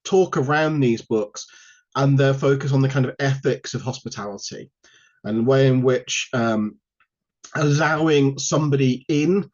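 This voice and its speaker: Male academic speaker, mid-pitched, informal and self-deprecating, delivering an online lecture over slightly muffled lo-fi audio. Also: a little slow, darkish tone. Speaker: university lecturer, guest speaker. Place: online video call. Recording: dull, lo-fi online call audio.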